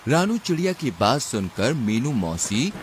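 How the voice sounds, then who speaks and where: Male storybook narrator, animated intonation, mid pitch, scripted delivery with faint background noise. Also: a little slow.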